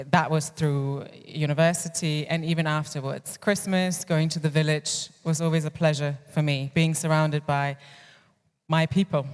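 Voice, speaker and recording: A woman's voice: low-pitched, smooth. A woman in her thirties from Germany whose first language is German, giving a candid personal talk at a conference as a clinical psychologist. She tells her story reflectively and often restarts her sentences. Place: conference hall. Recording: long reverb of a large hall.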